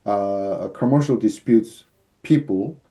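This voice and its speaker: Measured man with a dark voice. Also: fairly low pitch. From United States. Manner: measured, explanatory, frequent fillers. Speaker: arbitrator and mediator guest. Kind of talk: explanatory interview answers. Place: office with shelves, indoors. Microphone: video call webcam.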